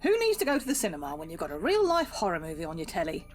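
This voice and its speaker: A woman playing an excitable viewer watching a spooky show at home in an audio drama. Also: fairly low pitch, rapid-fire, soft background music. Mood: engrossed. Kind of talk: full-cast audio drama acting.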